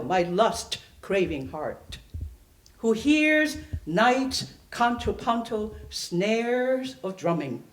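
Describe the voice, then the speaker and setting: A middle-aged woman giving a dramatic poetry recitation, phrasing theatrically and deliberately with long pauses. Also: on the high side. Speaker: poet performing at a library reading. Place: indoor library event space. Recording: echoey room, public event recording.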